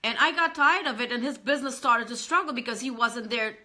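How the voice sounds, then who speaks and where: A woman's voice: a little high, fairly quick, bright, forceful tone. A woman, earnestly talking to live-stream viewers as a business coach and often repeating herself. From Trinidad and Tobago.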